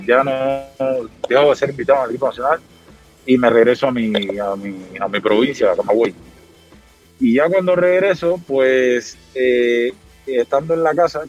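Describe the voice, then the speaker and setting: A Cuban man in his thirties, a podcast guest, telling his story in a relaxed, rambling way with many fillers. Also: medium pitch, lo-fi sound. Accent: Cuban Spanish. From Cuba. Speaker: podcast guest, former athlete. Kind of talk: casual interview conversation.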